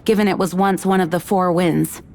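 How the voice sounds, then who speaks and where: A woman's voice: lowish voice, steady tone, moderate pace, darkish tone, slight background noise, close to an everyday voice. Woman acting dutiful, formal lady knight commander. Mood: earnest. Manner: formal, careful, articulate. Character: earnest, dutiful young female knight commander. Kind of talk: video game voice acting.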